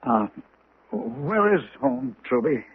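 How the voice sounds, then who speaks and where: Concerned gentleman, careful, halting, lively intonation, narrow old radio broadcast, 1970s drama. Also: mid-range voice, slightly rough, no echo, heavily acted.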